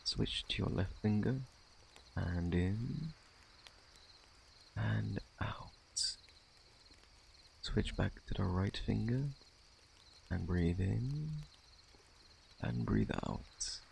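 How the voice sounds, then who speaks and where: A man performs a scholarly plague doctor with a British accent and a very deep register. The voice crackles with heavy fry, yet stays soft, courteous and reassuring, in formal old-fashioned phrasing. It is an ASMR roleplay with faint insect ambience behind it. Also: very slow, pauses often, heavily acted. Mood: calm, instructive. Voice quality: very deep, creaky, hushed.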